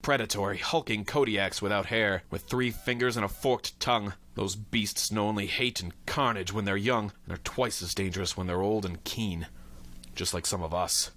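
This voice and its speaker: An actor playing a grim, commanding war pilot and Martian hunter in a pulp radio drama, stern and declamatory. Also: male voice, on the low side, normal speed, a bit bright, natural voice. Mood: grim brooding.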